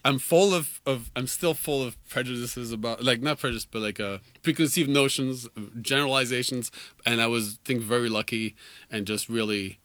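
A man's voice: medium pitch, moderate pace, a bit bright, no room sound. A man in his forties whose first language is French, talking casually and a little rambling as a musician guest in a radio studio, laughing often. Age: 40s. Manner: chatty, laughing, rambling with fillers.

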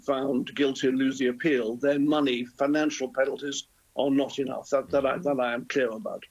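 A man's voice: medium pitch, steady tone, moderate pace, darkish tone, breathy edge, muffled recording. A man in his seventies, a guest in a live radio interview, speaking hesitantly with repeated words. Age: 70s. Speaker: interview guest, former football club chairman.

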